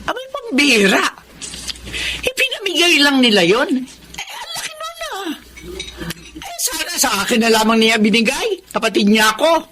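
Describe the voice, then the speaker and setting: A woman's voice: high-pitched, huge pitch swings, normal speed, a crowd in the background. A woman playing a resentful, covetous older sister and aunt who scolds and complains in a radio drama style. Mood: indignant. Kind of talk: Tagalog radio drama acting.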